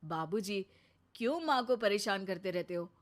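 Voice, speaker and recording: A woman voicing a caring young adult son in a cartoon, gentle and reasoning. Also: mid-range voice, expressive, moderate pace, natural voice.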